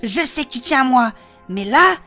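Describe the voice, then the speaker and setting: A woman's voice: high voice, lively intonation, moderate pace, pushed and bright, soft background music, heavily acted. A woman playing a bold, stubborn, hot-headed armoured adventurer, commanding and impatient, in a French fantasy audio comedy. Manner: commanding, impatient, foul-mouthed.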